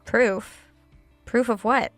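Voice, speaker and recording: A woman's voice: a little high, fairly even intonation, slow pace, fairly bright tone, dry recording, faint music behind. An actress playing an unsettled woman author alone in a haunted house, hesitant and distracted, in a horror audio drama. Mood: startled, evasive.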